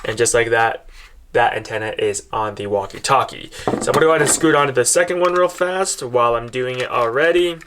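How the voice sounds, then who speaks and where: A man's voice: medium pitch, a little fast, fairly bright tone, slight background noise. A man presenting a product in a casual, step-by-step unboxing style, explaining things directly to viewers as he demonstrates.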